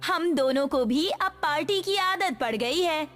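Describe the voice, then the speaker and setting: A woman's voice: high voice, expressive, unhurried, a bit bright, soft background music, voice pushed up. A woman voicing a cheeky, bossy young village woman in a horror cartoon, with rustic phrasing. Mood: teasing, bossy.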